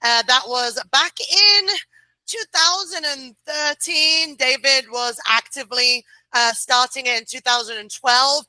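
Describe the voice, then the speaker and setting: A woman speaking as a guest on a video call, chatty and quick to laugh, with frequent uhs. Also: on the high side, bright, forceful tone, no room sound, poor audio. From United Kingdom. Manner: chatty, laughs easily, fillers. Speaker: guest, client relations director. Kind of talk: casual interview chat.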